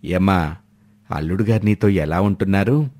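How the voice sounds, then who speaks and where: A man's voice: sits low, moderate pace, a little mellow, pitched below the performer's own voice. A man voicing a concerned elderly father in a family storybook tale. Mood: concerned, gentle. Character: elderly father, concerned, slow-spoken village in-law.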